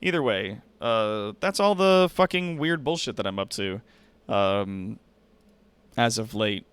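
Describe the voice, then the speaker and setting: Man streaming casually in a clear voice. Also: fairly low pitch, expressive, slow pace, fairly bright tone, no echo. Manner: slangy and profane, addressing viewers directly. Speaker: livestream host and content creator.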